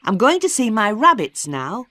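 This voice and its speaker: A proud eleven-year-old boy from a storybook, blunt and a bit sulky, in a raised voice that swings up and down, as a woman narrator puts him on. His words come slow and clear, recorded close and dry. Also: a little high, sing-song, bright, forceful tone, dry recording, voice pushed up, full character voice. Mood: cheerful.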